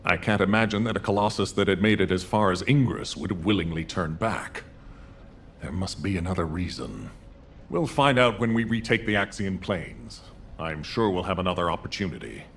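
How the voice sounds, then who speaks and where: An actor playing a composed military commander in a video game, measured and authoritative as he briefs. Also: deep voice, lively intonation, a bit bright, slight background noise. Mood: grave, composed.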